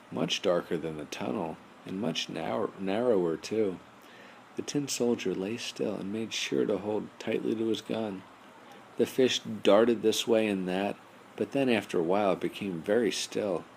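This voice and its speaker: A man narrating a children's bedtime story in a measured storybook style, as an audiobook reader. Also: on the low side, vocal fry. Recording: noisy amateur home recording.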